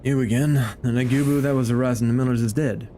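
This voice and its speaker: A man voicing a gruff, suspicious, foul-mouthed chief from a game, scornful and challenging. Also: medium pitch, steady tone, fast, dark tone, a little hiss or hum, natural voice. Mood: suspicious, testing. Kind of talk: reading game dialogue aloud in character.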